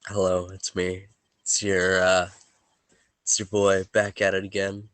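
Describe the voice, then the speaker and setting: A man's voice: low-pitched, monotone, measured pace, a bit bright, no echo. A man running a tabletop game, sniffly from allergies and a little worn in the voice, chatting loosely and then reading a recap of the story so far. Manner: laid-back, joking, stumbles and restarts. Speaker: game master. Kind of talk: casual chat and recap narration for a tabletop game.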